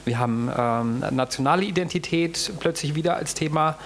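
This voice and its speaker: Argumentative man on a discussion panel. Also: fairly high pitch. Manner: deliberate, argumentative, with frequent fillers. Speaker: panel speaker.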